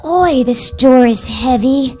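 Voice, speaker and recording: A woman's voice: sits high, takes it slowly, mellow, faint music behind. A woman playing an earnest, plucky young boy, plain-spoken and eager, in an old-time radio drama.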